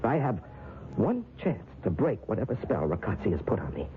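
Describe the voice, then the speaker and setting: An actor in an old-time radio drama playing an anxious, hunted man, speaking in an earnest, halting, urgent way. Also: fairly low pitch, very animated. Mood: haunted, desperate. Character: anxious, hunted man pleading for his life. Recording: muffled, noisy vintage radio recording.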